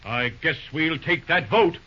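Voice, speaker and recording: A man's voice: a little high, unhurried, pushed and bright. An actor playing a pompous, cocksure American businessman who blusters and flusters easily in an old-time radio drama. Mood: defiant, cocky. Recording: dull, lo-fi old radio recording.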